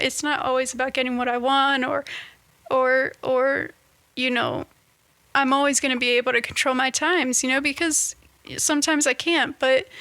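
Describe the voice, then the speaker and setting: Young woman, 20s, vlogger; unhurried, stop-start delivery, dry close sound. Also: high voice, pushed and bright, dry recording. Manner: halting, searching for words with many restarts. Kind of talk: casual reflective monologue.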